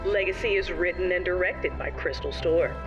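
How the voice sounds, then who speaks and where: An announcer reads the scripted closing credits of an audio drama. Music plays clearly behind, and the voice is slightly away from the mic. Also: medium pitch, normal speed, far from the mic, highs cut off.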